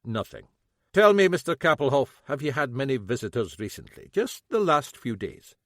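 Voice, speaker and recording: A man's voice: mid-range voice, big pitch swings, moderate pace, a bit bright, no echo, voice pushed up. A man voicing a shrewd, dry-witted Scottish police chief with a Scottish accent. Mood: wry. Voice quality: wry, commanding.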